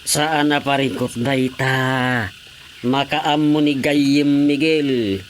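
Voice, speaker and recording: A man's voice: medium pitch, steady tone, takes it slowly, a little hiss or hum, close to an everyday voice. A man playing a jovial, boastful village husband in a radio comedy drama. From Philippines.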